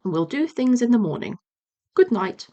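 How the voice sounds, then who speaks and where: A woman voicing a gentle, slow-witted male bear in a storybook, mild, puzzled and polite. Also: on the high side, big pitch swings, highs cut off. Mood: practical, mild. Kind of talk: storybook character voice.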